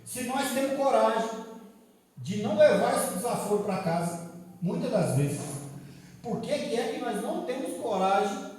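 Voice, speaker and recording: A Brazilian man preaches with a high, mellow voice, firing rhetorical questions at the room and sliding between soft asides and loud appeals. He sounds far from the mic, his words ringing around a big church hall with a long, booming echo. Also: lively intonation, moderate pace. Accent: Brazilian Portuguese. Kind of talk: evening sermon. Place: large echoing church hall.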